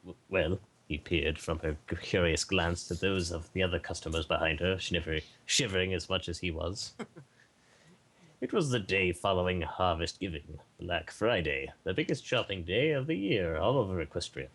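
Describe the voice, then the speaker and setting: A man reading a story aloud in an amateur, slightly halting way. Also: sits low, lively intonation, fairly bright tone. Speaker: podcast participant reading aloud. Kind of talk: amateur story narration with acted dialogue.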